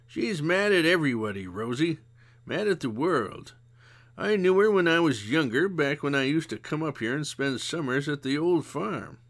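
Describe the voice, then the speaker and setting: A man voicing a calm, shrewd older woman who talks in a dry, knowing, gently scolding way. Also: a little high, moderate pace, pitched above the performer's own voice. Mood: wry.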